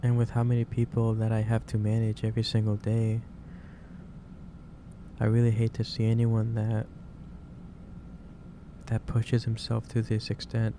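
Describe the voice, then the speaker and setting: A man playing a gentle, concerned office boss in a soft-spoken ASMR roleplay, talking in a hesitant, halting and careful way. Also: on the low side, monotone. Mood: earnest, kindly chiding. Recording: clearly noisy ambient background.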